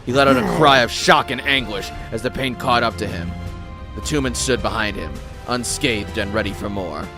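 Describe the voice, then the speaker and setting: A man's voice: medium pitch, animated, normal speed. A man giving a theatrical, intense scripted narration as a storyteller. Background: music.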